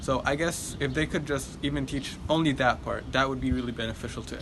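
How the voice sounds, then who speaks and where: A teenage boy from Egypt, a high school student answering interview questions thoughtfully, with frequent ums and likes. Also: fairly quick, noisy background. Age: teens.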